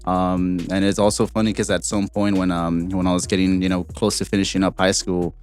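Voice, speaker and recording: Young man, low voice, loose and rambling, fillers, faint music behind. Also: fairly quick. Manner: relaxed, rambling, many fillers. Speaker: guest, street taco vendor. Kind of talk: casual podcast chat.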